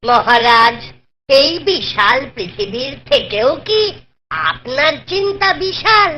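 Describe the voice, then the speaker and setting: An actor voicing a devoted, witty court jester who pleads and flatters respectfully in a Bengali children's cartoon. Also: sits high, takes it slowly, slight background noise, heavily acted. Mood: pleading.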